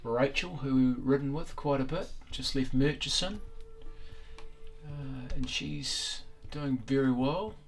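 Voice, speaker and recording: A man speaks in a casual interview style with a mid-pitched voice. Music plays faintly behind him as he talks like someone chatting about his hobby. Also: slow pace, vocal fry, low-quality recording. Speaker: bikepacker following friends in a race.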